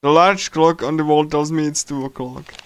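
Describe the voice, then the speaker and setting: A man livestreaming a game, casually commenting and reading aloud in a halting way, often repeating himself and stumbling. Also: a little high, steady tone, clear, resonant tone. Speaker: streamer. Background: game music.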